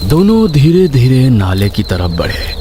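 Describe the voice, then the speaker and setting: Slow, suspenseful male horror narrator. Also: medium pitch, sing-song, noisy background. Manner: suspenseful, dramatic pauses. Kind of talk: scripted horror story narration. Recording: constant night cricket and insect sounds behind.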